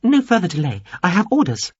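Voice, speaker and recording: A small alien officer who talks in clipped, officious, bureaucratic sentences, as a male narrator puts him on. The voice sits well above the reader's own, a touch husky. The recording is dry and slightly dull. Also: high-pitched, huge pitch swings, brisk, no room sound, voice pushed up, exaggerated voice. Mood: insistent. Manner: stiff, formal, bureaucratic phrasing. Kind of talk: audiobook character voice.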